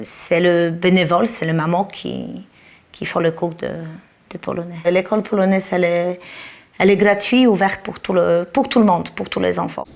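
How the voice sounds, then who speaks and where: Woman, mother tongue, lowish gravelly voice, halting informal explanation. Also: darkish tone.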